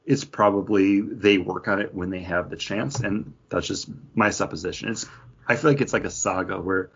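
Low, husky man chatting casually. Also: normal speed, a bit bright. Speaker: comics podcast co-host. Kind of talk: casual chat, book review. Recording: dull, lo-fi podcast audio.

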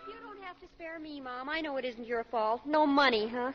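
An eager young college girl acted by a woman with a high, perky voice. She is sassy and gushing in the manner of 1940s radio comedy, heard through the dull sound of a vintage broadcast. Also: moderate pace, faint music behind, close to an everyday voice. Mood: frank, sassy. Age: young adult.

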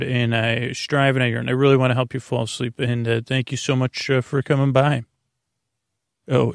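A man hosting a bedtime podcast in a creaky voice, soothing and lulling, with a halting, stammering, meandering way of talking. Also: mid-range voice, dry recording, studio quality. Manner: halting, stammering, trailing into tangents; soothing, lulling, gently whimsical. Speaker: host of a bedtime story podcast. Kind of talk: rambling, meandering sleep-podcast monologue.

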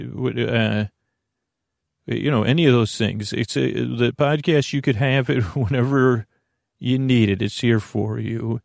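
Creaky-voiced man rambling softly through bedtime podcast. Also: medium pitch, no room sound, clean studio sound. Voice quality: creaky, gravelly. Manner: meandering, halting, full of filler words and tangents. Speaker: podcast host.

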